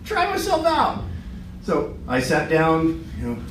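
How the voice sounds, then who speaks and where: A middle-aged man giving a short business pitch in a meeting room, chatty and self-deprecating, with many restarts. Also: huge pitch swings, slow pace, a bit bright, slight background noise. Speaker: business presenter. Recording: echoey room, far from the mic.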